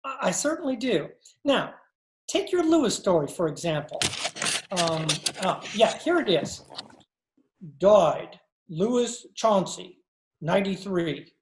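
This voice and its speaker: Sardonic, placating newspaper boss male role, played by a woman, lively mid-range voice, roomy sound. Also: mid-range voice, slow, long pauses. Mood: dry teasing. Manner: hesitant, placating, dryly teasing. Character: flustered, sardonic male newspaper editor, placating his fiancée. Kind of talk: 1940s radio comedy-drama acting. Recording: echoey room on video call.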